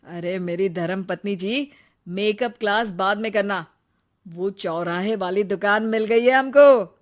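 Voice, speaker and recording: An eager young family man from a cartoon bedtime story, acted out by a woman. He talks in an earnest, everyday conversational way, at a middling pitch for the performer. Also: a little slow, natural voice. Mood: excited. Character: eager young married son, hardworking shop buyer.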